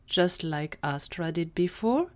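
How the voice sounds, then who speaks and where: A woman's voice: on the low side, animated, slow, darkish tone. A woman reading scripted story narration as the narrator of an audio drama.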